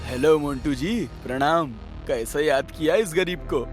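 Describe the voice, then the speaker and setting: Man acting chatty property broker, high male voice, eager, colloquial, comic cartoon delivery. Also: a little slow, music in the background, close to an everyday voice. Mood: ingratiating. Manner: effusive, colloquial, ingratiating. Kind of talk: comic animated story acting.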